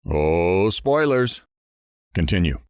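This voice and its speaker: A man's voice: low-pitched, big pitch swings, takes it slowly, darkish tone, smooth, no echo, studio quality, heavily acted. A man acting a wry radio talk show host in an audio drama.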